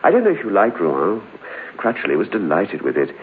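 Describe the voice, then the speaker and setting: An actor playing a genteel gentleman who recounts a grim tale in measured tones, dropping to a hushed near-whisper for the dark parts, in a vintage radio drama. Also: low voice, wildly swooping pitch. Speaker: storyteller character. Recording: dull hissy old radio broadcast.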